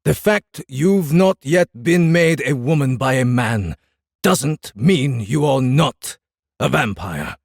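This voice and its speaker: Gravelly, menacing inhuman samurai. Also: male voice, mid-range voice, lively intonation, no room sound, clean studio sound, full character voice. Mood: coldly insistent. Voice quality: gravelly, growling. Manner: commanding, deliberate, formal threats. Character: menacing inhuman samurai captor, centuries tormented, furious and commanding. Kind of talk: full-cast fantasy audiobook acting.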